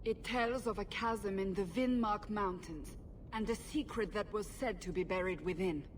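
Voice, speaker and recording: An actress playing a coolly suspicious interrogator in a video game, speaking formally and with pointed, measured words. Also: female voice, medium pitch, a little hiss or hum, lightly acted. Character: stern, probing woman interrogator, coolly suspicious.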